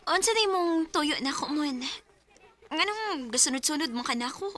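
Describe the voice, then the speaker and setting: A high-voiced young shopgirl. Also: lively intonation, normal speed, bright, forceful tone. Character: young woman working as shopkeeper. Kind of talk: radio drama acting.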